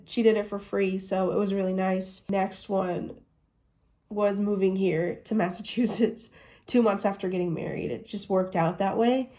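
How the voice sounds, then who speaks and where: A woman's voice: medium pitch, fairly even intonation, moderate pace, warm tone. A woman in her twenties from the United States, chatting casually through a Q&A vlog and gushing as she goes. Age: 20s. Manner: chatty, gushing, says "literally" often. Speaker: vlogger.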